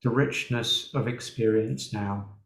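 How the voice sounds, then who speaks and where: Slow, low-voiced man guiding meditation. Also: steady tone. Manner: unhurried, with long pauses. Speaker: meditation teacher. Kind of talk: guided meditation. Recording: roomy, echoing sound.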